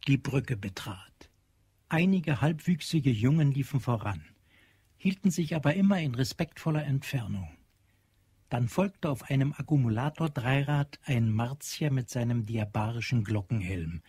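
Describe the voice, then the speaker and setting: A sober male storyteller, narrates a science fiction radio play. His voice is husky and sits very dry and close, at a middling pitch. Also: a little mellow, no echo. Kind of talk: scripted radio drama narration.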